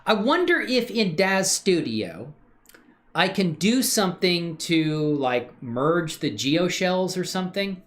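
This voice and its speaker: A man's voice: high voice, slow. A man livestreaming his 3D modeling work, thinking aloud in a casual, slangy way and answering questions from chat. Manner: relaxed slangy talk with many fillers.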